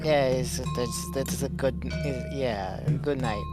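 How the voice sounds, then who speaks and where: A woman's voice: rumbling low, slow. A woman chatting casually as a player in a tabletop game, with music in the background. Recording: loud music behind the voice. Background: loud background music.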